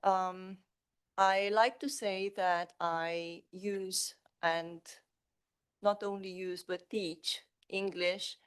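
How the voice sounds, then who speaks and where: A woman from Romania whose first language is Hungarian, an English teacher, introducing herself slowly on a panel with frequent pauses. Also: medium pitch, fairly even intonation, clear, resonant tone. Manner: slow, measured, pausing with fillers. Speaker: secondary school English teacher.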